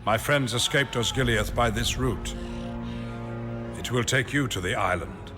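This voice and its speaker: An actor playing a grave, commanding old wizard, narrating a fantasy war game in a solemn, deliberate, formal way. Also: male voice, medium pitch, lightly acted. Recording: voice under clear background music.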